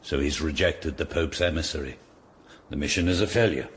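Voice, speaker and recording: An actor playing a shrewd, commanding older Tudor lord in a radio drama, with an English accent and an authoritative, deliberate, aristocratic delivery. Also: male voice, very deep voice, a little hiss or hum. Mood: grave, resigned.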